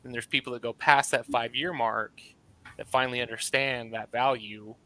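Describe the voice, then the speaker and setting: A man's voice: medium pitch, fairly even intonation, normal speed, sharp tone, low-quality recording. A man who runs a landscaping business, talking casually on a video call panel with lots of fillers. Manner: conversational, with frequent fillers.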